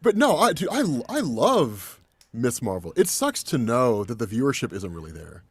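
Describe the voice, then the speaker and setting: A man's voice: medium pitch, wildly swooping pitch, moderate pace, breathy edge, dry recording. A man chatting casually as a panelist, enthusiastic and emphatic, often checking in with "right?" and "dude". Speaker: guest panelist on a comics discussion show. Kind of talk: casual chat.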